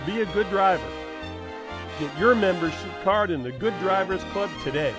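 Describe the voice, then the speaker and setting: A man's voice: high-pitched, lively intonation, moderate pace, over music. A man working as an announcer, reading in the style of 1950s radio sign-offs and sponsor spots.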